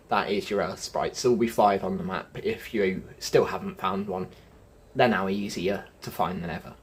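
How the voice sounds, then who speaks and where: A man with a slightly husky, breathy voice runs through a game walkthrough as a channel host. He keeps it chatty and talks straight to his viewers as folks and guys, with a pitch that sits in an ordinary middle range. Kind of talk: gameplay walkthrough commentary.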